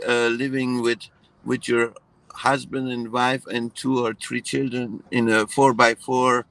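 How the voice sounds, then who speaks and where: A man from Iran, raised in Germany, talking in a relaxed conversation with many hesitant fillers and restarts. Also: medium pitch, creaky, low-quality recording. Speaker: guest. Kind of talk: casual conversation.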